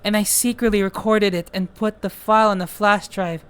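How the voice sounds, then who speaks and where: A woman voicing a creepy, obsessively loving younger brother, speaking slowly in a courtly, ominously affectionate way over music. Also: mid-range voice, fairly even intonation, clean tone, lightly acted. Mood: wounded, obsessive.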